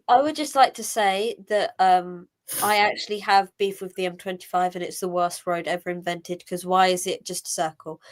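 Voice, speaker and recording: A young woman, a panelist telling a rambling, exasperated story. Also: medium pitch. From Essex, England. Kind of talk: casual panel chat.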